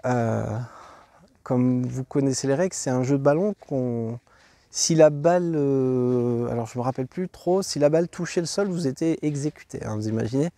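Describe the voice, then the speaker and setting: A man's voice: medium pitch, moderate pace, warm tone, dry recording. A man hosting a travel vlog, stuffed up from a cold, rambling with many fillers and restarts as he tours a site outdoors. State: congested from a cold. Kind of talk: walking tour commentary.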